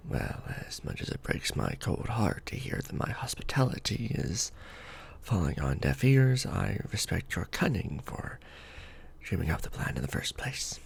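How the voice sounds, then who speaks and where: Ancient vampire lord played by a man: low, creaky voice, formal and measured, coolly courtly but tender, romantic ASMR roleplay. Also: exaggerated voice. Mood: wounded, wry. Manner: formal, measured, theatrical diction with pauses. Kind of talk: ASMR romantic roleplay, scripted character acting.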